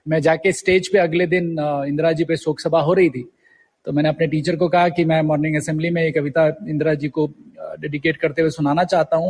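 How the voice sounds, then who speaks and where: A man's voice: a little high, a little mellow, slightly rough. A middle-aged man reminiscing as an interview guest, telling stories and mixing in English words. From India. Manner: storytelling, mixes English words into Hindi.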